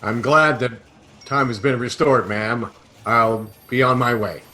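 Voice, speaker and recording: A man playing an unflappable interstellar adventurer in a sci-fi satire, measured, polite and deadpan. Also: medium pitch, lightly acted. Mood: dry, composed. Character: unflappable, wry male interstellar adventurer detective. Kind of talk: comic sci-fi audio drama acting. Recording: slightly noisy home recording.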